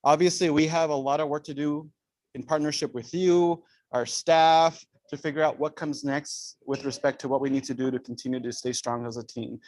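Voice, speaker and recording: A man's voice: fairly high pitch, fairly even intonation, moderate pace, darkish tone, dry recording, low-quality recording. A man, a school administrator, giving staff updates at a parent meeting and switching between English and Spanish. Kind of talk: bilingual staff announcement.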